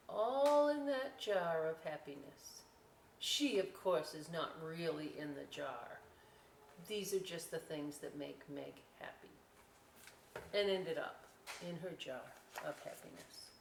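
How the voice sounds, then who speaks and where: A woman's voice: fairly low pitch, expressive, slow. A woman hosting a children's story time, reading a picture book aloud and chatting through the pictures in a conversational way. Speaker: librarian story time host. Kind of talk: picture-book read-aloud with casual asides. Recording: roomy, distant mic with clear noise.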